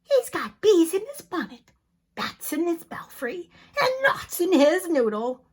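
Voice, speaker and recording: Woman doing a mob of shrill, outraged gossiping neighbors in a storybook, loud and drawn out. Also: sing-song, unhurried, heavily acted. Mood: scandalized. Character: scandalized gossiping neighbors on a tidy street.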